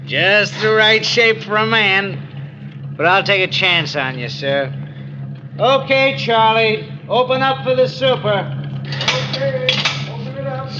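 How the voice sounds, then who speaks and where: Jovial folksy guard, slow-talking, 1940s radio drama, muffled noisy recording. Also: male voice, sits high. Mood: jovial teasing. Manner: slow, chummy, joking. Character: jovial, folksy asylum gate guard, teasing and deferential.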